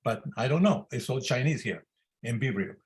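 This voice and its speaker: A man's voice: medium pitch, expressive, moderate pace. An elderly man, a senior surgeon, commenting informally at a medical discussion in loosely built non-native English. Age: 70s to 80.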